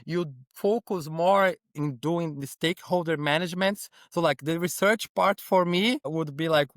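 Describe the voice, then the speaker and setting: A man's voice: fairly high pitch, fairly bright tone, clean tone, no echo, studio quality. A man from Brazil with a Brazilian accent, a native Portuguese speaker, giving conversational interview answers in non-native English and often checking in with "right?". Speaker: podcast guest, innovation consultant.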